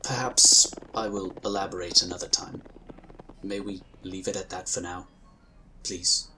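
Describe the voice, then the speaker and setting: An actor playing a calm, courteous male psionic inquisitor, speaking formally and gently in a husky voice. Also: sits low, measured pace, slight background noise, exaggerated voice, poor audio. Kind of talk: audio drama acting.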